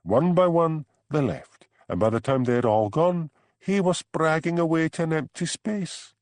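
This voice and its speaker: A man voicing a gossipy Scottish village man who tells tales in an animated way, in an audiobook. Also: fairly high pitch, normal speed, dry recording, voice pushed up, lightly acted. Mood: gleeful gossip. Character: Archie, gossipy village man, fond of a dram. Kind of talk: audiobook character dialogue.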